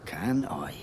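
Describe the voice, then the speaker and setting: An actor playing a spiteful, sneering British lackey in a fantasy game, with a breathy, half-whispered voice and a drawn-out, mocking delivery. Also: male voice, medium pitch, heavy background noise. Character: spiteful, sneering male lackey gloating over his fallen master. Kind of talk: dramatic fantasy game acting.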